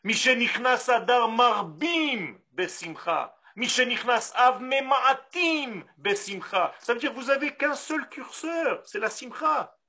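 A man from Israel with an Israeli accent, a Hebrew native speaker giving a Torah lesson in French, animated and full of rhetorical questions. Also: very high-pitched, slow pace, few pauses. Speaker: rabbi.

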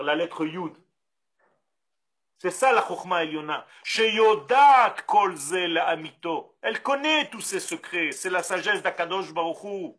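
A man from Israel with an Israeli accent, a Hebrew native speaker giving a Torah lesson in French, animated and full of rhetorical questions. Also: high voice. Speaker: rabbi.

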